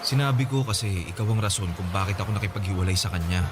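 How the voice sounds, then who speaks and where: A man's voice: low-pitched, fast, noisy background, lightly acted. An actor playing a remorseful, lovelorn young man in a radio drama, pleading earnestly and hesitantly. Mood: earnest confession.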